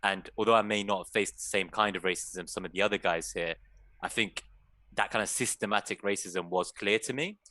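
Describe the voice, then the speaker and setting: Deep, bright-toned young man, earnest. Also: fairly quick, dry recording. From Iran. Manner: earnest, reflective. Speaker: guest panelist. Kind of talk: personal storytelling in panel chat.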